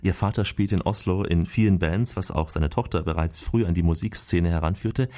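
A man co-hosting a radio travel show, reporting from a trip and voicing over interviews in a lively, chatty way. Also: low-pitched, fairly quick. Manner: lively, chatty storytelling with asides.

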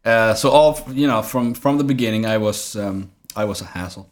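Guest: man, 40s, from Sweden, low voice, halting and full of fillers. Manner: hesitant, many fillers and restarts. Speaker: guest actor. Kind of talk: casual interview conversation.

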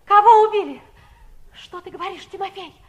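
A woman's voice: very high-pitched, brisk. An actress playing a distraught young lady, crying out frantically in an old radio play. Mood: alarmed disbelief. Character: distraught young lady searching for her shot lover. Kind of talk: 1960s Soviet radio play acting. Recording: noisy old radio drama tape.

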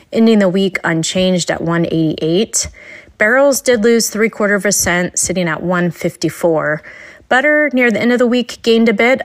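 A woman giving a weekly dairy market report in a conversational way, with frequent "uh" and "um" fillers. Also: medium pitch.

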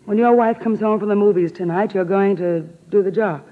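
A woman's voice: mid-range voice, brisk, natural voice. An actress playing a hard-boiled, domineering female murder accomplice in a 1940s radio drama, blunt and scornful, on a muffled old recording. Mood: businesslike, plotting. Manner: blunt, scornful, tough-talking.

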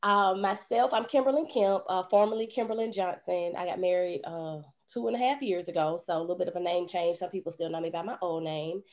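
A woman's voice: lowish voice, a little fast, hardly any pauses. Chatty young woman. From Birmingham, Alabama. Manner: chatty, lively storytelling with laughs. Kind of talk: casual podcast chat.

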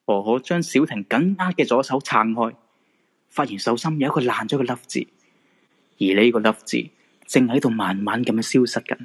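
A man's voice: mid-range voice, moderate pace, husky. A man with a Hong Kong Cantonese accent narrating a scripted supernatural radio drama.

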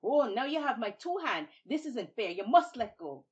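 A woman voicing Babuki, a boastful, hot-tempered male trickster who shouts indignant challenges. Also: lowish voice, measured pace, lightly acted. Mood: vexed. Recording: dull lo-fi recording.

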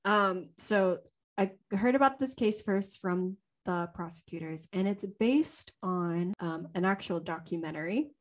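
A woman's voice: on the low side, measured pace. A young woman co-hosting a podcast, telling a story from memory in a rambling, chatty way with plenty of ums and laughs. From Louisiana. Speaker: podcast co-host. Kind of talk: casual chat, unscripted storytelling.

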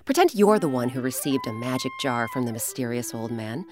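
A woman narrating a scripted children's story as host, with dramatic, expressive, deliberate phrasing. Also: extremely low pitch. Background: music.

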